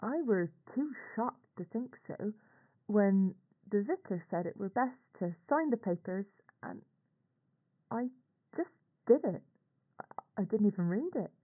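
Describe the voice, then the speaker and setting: An actress voices a middle-aged Northern English aunt, anxious and ashamed, telling her story for a horror audio drama. The sound is thin and phone-like, as if from an old log. Her mid-pitched voice moves expressively through plain, colloquial phrasing with halting pauses. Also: lively intonation. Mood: resigned, troubled. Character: working-class English aunt, a nurse, anxious, ashamed, recounting events. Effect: radio or telephone-like filter. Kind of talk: scripted confessional monologue for horror audio log. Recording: tinny, band-limited audio log recording.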